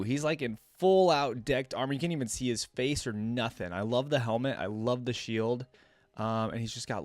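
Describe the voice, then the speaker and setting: A man's voice: mid-range voice, dry recording. A man giving casual reaction commentary as a video host, thinking aloud in an informal way. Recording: music playing behind.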